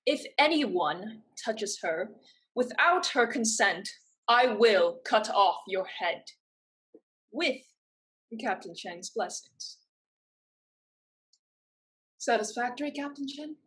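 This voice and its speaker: Husky, fierce young pirate woman, acted out. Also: a little high, animated, slow, long pauses, fairly bright tone, exaggerated voice, low-quality recording. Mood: stern threat. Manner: firm, blunt. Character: fierce, resolute young female pirate, orphaned survivor. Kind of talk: staged reading of a stage play.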